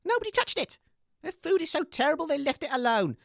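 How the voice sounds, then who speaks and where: A woman's voice: high voice, sing-song, fast talker, creaky, dry recording, studio quality, exaggerated voice. Woman as wildly swooping, flustered radio host. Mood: reproachful, exasperated. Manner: hesitant, fussy, reproachful. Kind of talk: scripted comedy radio banter.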